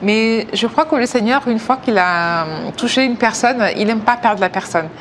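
A woman in her thirties from Africa, giving a personal faith testimony hesitantly, with many pauses. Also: on the high side, very noisy. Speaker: testimony speaker.

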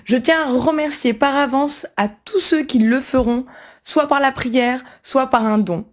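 A woman with a fairly high voice speaks as a video presenter. Her delivery is a scripted announcement addressed to viewers. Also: a little slow. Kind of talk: scripted thank-you announcement.

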